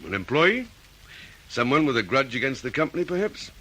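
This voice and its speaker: An actor playing a methodical, questioning police detective in an Australian radio drama, with an Australian accent. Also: male voice, mid-range voice, very animated, sharp tone, poor audio. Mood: probing. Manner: deliberate, questioning.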